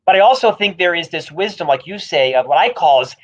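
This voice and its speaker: A man's voice: fairly high pitch, animated, fairly quick, a bit bright. A man with a stutter, speaking emphatically and with humor as a guest in a livestream conversation about leadership. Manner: emphatic, self-deprecating, openly stutters on some words. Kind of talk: livestream interview talk.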